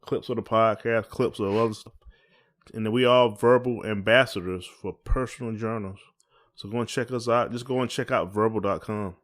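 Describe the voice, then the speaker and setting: A man's voice: lowish voice, steady tone, normal speed. A man co-hosting a casual podcast, talking in a folksy, rambling way and repeating his phrases. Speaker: podcast co-host. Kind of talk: casual conversational podcast chat.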